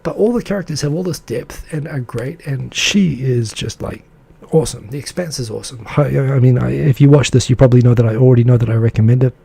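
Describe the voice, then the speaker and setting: Male live-stream host, dark gravelly tone, chatty and enthusiastic, loose with fillers. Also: medium pitch, fast talker. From English-speaking country. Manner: rambling and enthusiastic, lots of fillers; reads out and answers viewer comments. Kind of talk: casual live-stream chat.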